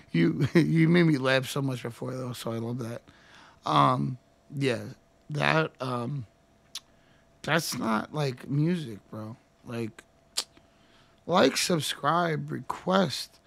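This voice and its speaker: A man's voice: mid-range voice, slow pace, vocal fry, long pauses, a bit bright. A young man hosting a music reaction video, talking casually into a headset and bluntly dismissing the song.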